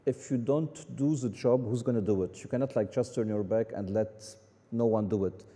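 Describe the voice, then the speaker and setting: A man's voice: mid-range voice, moderate pace, warm tone. A man from Lebanon, speaking as a conference guest, who makes his point through emphatic, repeated rhetorical questions. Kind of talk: onstage conversation. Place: conference hall. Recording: reverberant hall.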